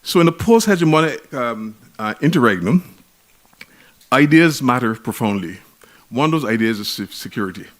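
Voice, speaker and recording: A man with a Barbadian accent giving an academic conference lecture in a measured, emphatic way, often restarting his words. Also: medium pitch, lively intonation. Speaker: conference speaker.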